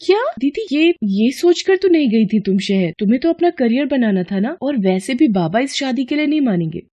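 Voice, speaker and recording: Woman voicing an earnest, mellow-toned younger sister. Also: high voice, big pitch swings, a little fast, lo-fi sound, voice pushed up. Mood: worried, reproachful. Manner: earnest, pleading. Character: Seema, earnest devoted principled younger sister. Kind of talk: Hindi cartoon moral story acting.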